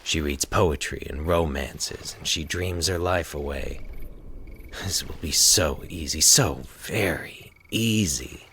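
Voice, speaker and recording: A man playing a solitary, sinister man in a horror story, solemn, measured and calculating. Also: a bass voice, a bit bright, slight background noise, voice pushed down, heavily acted. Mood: sinister musing.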